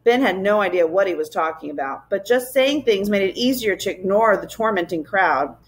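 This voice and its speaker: A woman teacher reading a children's storybook aloud in an expressive narrating style. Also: medium pitch, moderate pace. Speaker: teacher reading aloud to a fourth grade class.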